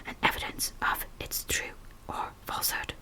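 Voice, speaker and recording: A woman voicing a gentle, cautious male clergyman, whispering careful questions. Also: normal speed, natural voice.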